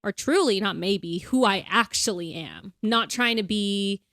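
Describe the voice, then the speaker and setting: A woman's voice: medium pitch, a little slow, fairly bright tone, dry recording, studio quality. A young woman co-hosting a podcast, chatting in a bubbly, casual way with lots of fillers. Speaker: podcast co-host. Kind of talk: casual chat.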